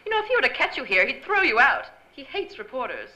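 Brisk, sharp-toned noir femme fatale. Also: female voice, sits high, sing-song, full character voice, low-quality recording. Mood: cool, guarded. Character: sultry, guarded wealthy married woman, femme fatale. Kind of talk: old-time radio noir drama acting. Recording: live stage recording with faint noise.

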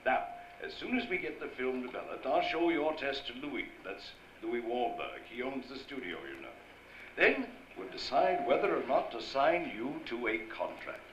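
Male actor as encouraging studio director, smooth talker, radio drama, animated, dull recording with faint noise. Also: mid-range voice, normal speed, reverberant. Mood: effusive. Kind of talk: old-time radio drama acting.

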